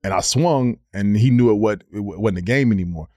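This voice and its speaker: A man in his thirties, a former pro basketball player, telling stories on a podcast in a casual, animated way, repeating words as he goes. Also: on the low side, brisk, no echo, clean studio sound. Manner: animated storyteller, slips into quoted voices, repeats words. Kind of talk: casual storytelling.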